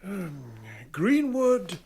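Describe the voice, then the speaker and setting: An actor playing an obliging, slightly flustered shopkeeper in a radio drama, hesitant and deferential, with a British accent. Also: male voice, sits high, very animated, very slow, gravelly, a little mellow, slight background noise, full character voice. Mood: polite, flustered. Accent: British English.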